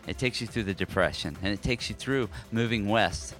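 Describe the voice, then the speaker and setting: Low-pitched American man chatting on a podcast. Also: brisk, fairly bright tone, soft background music. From United States. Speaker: podcast co-host. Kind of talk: casual conversational podcast talk.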